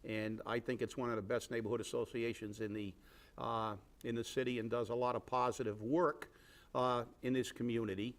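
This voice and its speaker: A man hosting a local talk show, speaking haltingly with many fillers and restarts. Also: mid-range voice, level tone. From Fall River, Massachusetts. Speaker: host.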